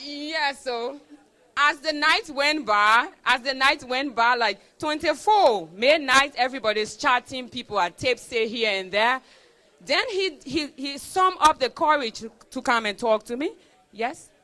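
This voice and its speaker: Young woman, high, bright, ringing voice, emphatic speech-giver who repeats her points. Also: a little slow. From Liberia. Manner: emphatic storyteller, repeats key phrases. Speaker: student speaker at a celebration. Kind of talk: motivational speech with a personal story.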